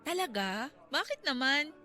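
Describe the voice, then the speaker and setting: Bubbly young Filipina, bright voice. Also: female voice, sits high, sing-song, measured pace, faint music behind, full character voice. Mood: friendly, interested.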